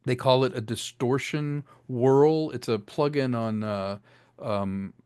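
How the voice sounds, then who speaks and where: A man gives casual interview commentary as a director, eagerly explaining and illustrating. His voice is medium in pitch, and the recording is very dry. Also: slow, a little mellow, smooth, dry recording. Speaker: audio drama director and performer.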